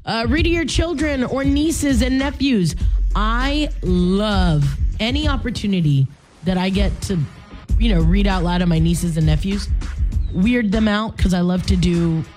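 A woman's voice: mid-range voice, unhurried, darkish tone, faint music behind. A woman hosting a morning radio show in a playful, informal, chatty way, a native Spanish speaker talking in English. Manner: playful, informal, conversational asides and slang.

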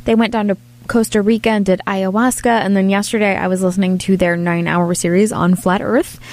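A woman's voice: mid-range voice, little pitch movement, a little fast, darkish tone. A woman hosting an interview podcast in a casual, conversational style. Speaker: podcast and radio interview host. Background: music.

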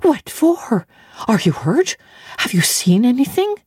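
A woman's voice: mid-range voice, animated, slow, dark tone, husky, dry recording, studio quality, pitched above the performer's own voice. A woman voicing an anxious young nursemaid who asks urgent, raised questions.

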